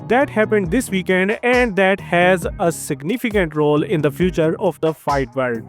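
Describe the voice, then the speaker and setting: Indian guy doing a weekly fight news roundup, high-ish voice, quick and upbeat, some music low underneath. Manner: brisk, energetic delivery with frequent filler transitions. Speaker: host of a combat sports news channel. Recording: faint music behind the voice.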